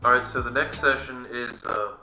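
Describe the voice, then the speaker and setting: A young man co-hosting a podcast, talking in a laid-back, jokey way with a smooth voice. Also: mid-range voice, steady tone, normal speed, sharp tone. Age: young adult. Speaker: podcast co-host. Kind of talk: casual chat. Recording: roomy, from a bit far off the mic, faint music.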